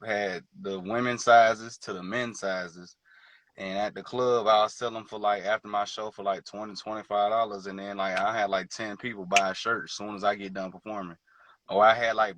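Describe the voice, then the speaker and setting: A man's voice: fairly low pitch, a bit bright, no room sound. A man chatting casually as an interview guest over a video call, laid-back and slangy, with many fillers. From Detroit and Georgia, born in Hawaii. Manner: laid-back, slangy, many fillers and "you know what I'm saying". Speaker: guest musician.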